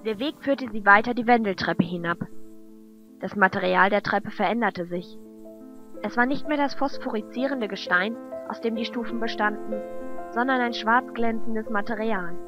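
A woman's voice: on the high side, normal speed, highs cut off. A woman narrating a fantasy audio drama in a scripted, dramatic storytelling style. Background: music.

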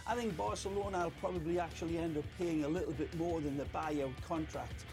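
An older man with a high voice speaks as a football pundit on a studio panel. He is emphatic and circles back over his phrases, with music clearly playing behind him. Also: fairly even intonation, a little fast. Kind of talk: animated panel discussion. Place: television studio.

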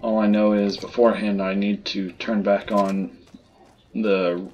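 A man's voice: on the low side, little pitch movement, a little slow, highs cut off, slight background noise. A man giving casual gameplay commentary, muttering to himself as he plays. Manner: muttering to self. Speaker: gamer doing a playthrough.

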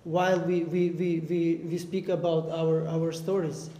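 A man's voice: a little high, little pitch movement, unhurried, dark tone. Hesitant young man, echoey hall. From Albania. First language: Romani. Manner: hesitant, many repeated words and fillers. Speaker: artist panelist. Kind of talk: panel discussion. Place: art gallery room. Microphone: stand mic. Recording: echoey hall, faint noise.

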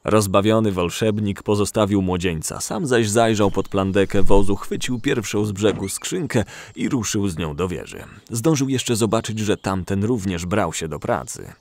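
A man's voice: on the low side, lively intonation, normal speed, hardly any pauses, a little mellow, no room sound. A man narrating a story in a scripted storytelling style for an audio drama. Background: music.

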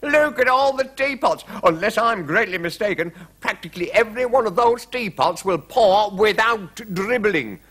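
A flustered storybook king, as a male narrator puts him on, forever exclaiming and complaining. The delivery is forceful and swings widely in pitch, full of dithering exasperation. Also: lively intonation, normal speed, few pauses. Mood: exasperated wailing. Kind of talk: children's storybook character reading.

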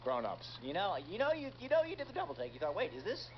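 A man hosting a kids' radio show in an upbeat, chatty, animated style. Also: high-pitched, fast. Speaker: radio host. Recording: dull re-recording off a speaker, clearly noisy.

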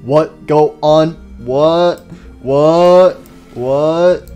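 Battered cartoon animatronic bear, male performer: slow-witted, blaring, baffled, short simple replies. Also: fairly high pitch, dull audio, soft background music. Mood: bewildered. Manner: loud, bewildered, simple-minded. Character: big dim confused battered animatronic bear, loud and slow.

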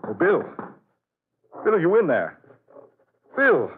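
An actor playing an uneasy, inquisitive houseguest in 1940s radio drama style, his voice husky. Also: sits high, huge pitch swings, slow, slight background noise, heavily acted. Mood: urgent shouting. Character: uneasy, inquisitive man visiting an old friend. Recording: muffled, lo-fi old radio broadcast.

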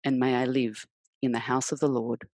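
A woman's voice: extremely low pitch, flat delivery, normal speed, a little mellow, no room sound, clean studio sound. A woman in her forties reads formally into a headset microphone on a video call. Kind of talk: formal scripture reading and introduction.

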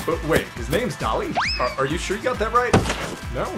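A man voicing an excitable, sardonic stadium announcer in a cartoon parody, calling the play theatrically. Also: fairly high pitch, brisk. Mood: astonished. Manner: theatrical, exclamatory. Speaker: sports announcer. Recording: loud music under the voice.

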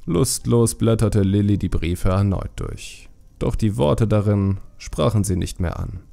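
A man narrating a fantasy audiobook in a measured, expressive storytelling manner. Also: on the low side, creaky, soft background music.

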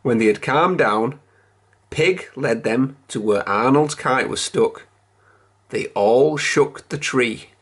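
A reader telling a children's picture book aloud in a measured, expressive storytelling manner. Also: mid-range voice, husky.

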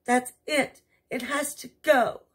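A woman's voice: high voice, steady tone, takes it slowly, fairly bright tone, slightly rough, voice pushed up, heavily acted. Woman putting on a prim, commanding storybook queen.

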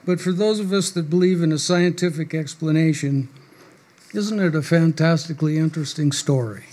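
A man's voice: on the high side, a little mellow. An older man reading prepared, wry remarks at a stand mic on a small club stage. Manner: measured, dry and wry, reading from paper. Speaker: guest speaker. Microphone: stand mic at a podium.

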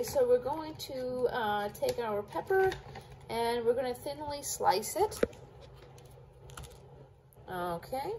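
Chatty woman with a lively voice. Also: fairly high pitch, background noise. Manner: chatty, talks to viewers while chopping. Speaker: cooking vlogger. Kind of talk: casual cook-along chat. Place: home kitchen counter.

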